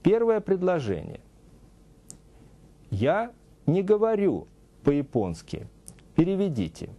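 A middle-aged man teaching a scripted TV language lesson, saying English example phrases slowly and deliberately, in a studio. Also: sits high, wildly swooping pitch, dark tone, lo-fi sound. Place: TV studio with a news-desk set.

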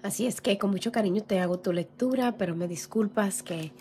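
A hoarse woman reading tarot cards in a casual, gentle way, clearing her throat often. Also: mid-range voice, brisk. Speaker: tarot reader. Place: indoor room with a tarot table. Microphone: camera over table, hands seen.

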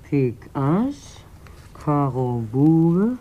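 An actress playing a superstitious woman in a 1950s radio drama, muttering in halting fragments as she reads cards. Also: deep voice, very animated, phone-quality sound, heavily acted. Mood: absorbed, muttering.

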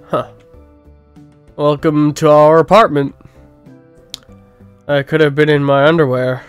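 A mild, nerdy young bear who talks low, soft and unhurried, as a man puts him on. The voice is smooth and clear, recorded dry and close. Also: fairly even intonation, dry recording, faint music behind. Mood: explaining.

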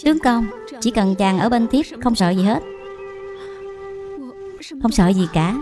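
A woman voicing a gentle, devoted young wife in a cartoon dub, speaking in a tender, pleading, deferential way. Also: medium pitch, lively intonation, unhurried, over music, close to an everyday voice. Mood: distressed, tender. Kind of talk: animated film dubbing.

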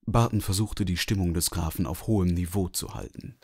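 A man's voice: low-pitched, fairly quick, darkish tone, slightly rough. A man giving scripted literary narration as the narrator of a story.

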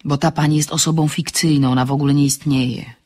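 An actress playing an insistent, flippant woman in a comic radio drama, brisk and impatient as she pushes. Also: low voice, steady tone, dark tone. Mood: urgent, persuasive. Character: insistent, flippant woman pressing a friend for a favour.

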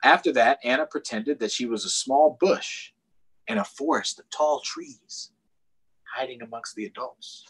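Casting: American man, middle-aged, slightly husky voice, very animated children's storytime reader who explains things as he goes. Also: medium pitch, a little slow, low-quality recording. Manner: expressive, explaining to young children. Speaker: storytime host. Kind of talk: children's storytime read-aloud with chatty asides. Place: small room at home, indoors. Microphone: computer or tablet on a video call.

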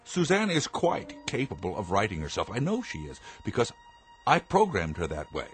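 A man's voice: lowish voice, wildly swooping pitch, normal speed. An actor playing a stern, controlling inventor father in a radio drama, speaking with formal, commanding precision. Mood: proud, controlled.

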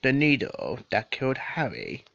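A man acts a defensive, formal gentleman under questioning in an audio drama. His voice is bright and lively in pitch, earnest in delivery, recorded dry and a little dull. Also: measured pace, vocal fry, no room sound, exaggerated voice. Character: defensive, well-spoken gentleman murder suspect. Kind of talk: scripted audio drama acting.

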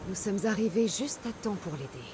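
A woman's voice: medium pitch, animated, a little fast, background noise, close to an everyday voice. A woman playing a tough, dry-witted young Greek mercenary in a video game, curt and sardonic. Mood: terse.

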